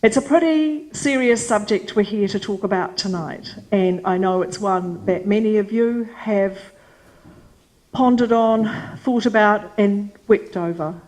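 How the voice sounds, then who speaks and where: Middle-aged kiwi woman running a big community meeting, loud school teacher voice, bit bossy, lots of ums. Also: mid-range voice, low-quality recording. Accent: New Zealand. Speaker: forum chair and facilitator. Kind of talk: welcoming remarks at a community forum. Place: meeting hall with a large audience.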